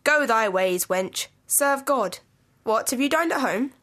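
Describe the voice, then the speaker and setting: A young woman playing a chatty older nurse from Shakespeare. The voice sits a bit high. She rambles, banters and holds back as she teases, in classical audio-play style. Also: expressive, measured pace, a bit bright. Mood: teasing, fussing. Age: young adult. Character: teasing, garrulous older nurse to a young lady. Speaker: student actor.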